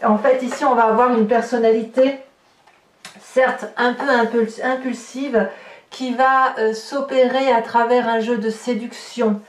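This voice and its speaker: A woman with a fairly high voice gives an improvised tarot reading. She speaks haltingly, feeling for her words and restarting phrases. The recording is roomy and echoing, with her voice some distance from the mic. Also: fairly even intonation. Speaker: tarot card reader. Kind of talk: unscripted tarot reading, guidance monologue.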